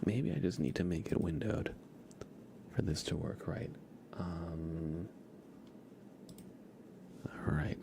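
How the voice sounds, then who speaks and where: Man, ASMR streamer, soft whispery murmur, low pitch, creaky, casual chat, close mic. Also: animated, takes it slowly, pauses often, background noise. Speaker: ASMR livestream host.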